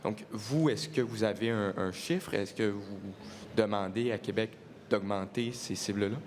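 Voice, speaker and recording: Man, low voice, journalist, hesitant question, faint background noise. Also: a little slow. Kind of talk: press conference question.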